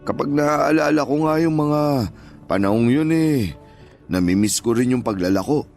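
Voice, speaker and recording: A man's voice: mid-range voice, animated, moderate pace, a little mellow, lightly acted. An actor playing a kindly, hardworking older father and former street vendor in a Tagalog audio drama, with a Filipino accent and reassuring, plain-spoken manner. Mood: chatty, content. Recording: music bed behind voice.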